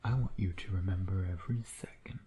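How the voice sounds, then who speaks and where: A man playing a smug, teasing vampire predator in an intimate ASMR roleplay, sardonic and flirtatious, often slipping into breathy murmurs. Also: low voice, steady tone, brisk, mellow, a little hiss or hum, natural voice. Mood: menacing, seductive.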